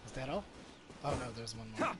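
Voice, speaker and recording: Man casually commentating over loud game music. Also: mid-range voice, expressive, fairly quick. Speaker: gaming streamer.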